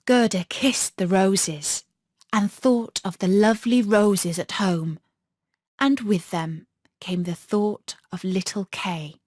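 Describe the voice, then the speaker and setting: A woman narrating a children's fairy tale as an audiobook, in an expressive storytelling manner. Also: mid-range voice, slow, no echo, clean studio sound.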